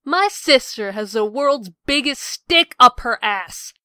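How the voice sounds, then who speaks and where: A woman's voice: fairly high pitch, animated, takes it slowly, bright, forceful tone, smooth, no room sound, clean studio sound, heavily acted. A woman playing a brash, sarcastic, rebellious sister with a flippant, snide manner in a comic dub.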